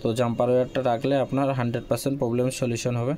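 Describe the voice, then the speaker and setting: A man talks very quickly through a casual how-to, with little rise or fall in pitch. He goes step by step, repeating phrases as he instructs. Some background noise sits behind his voice. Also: flat delivery, mellow. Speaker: phone repair tutorial host. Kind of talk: casual hands-on tutorial narration.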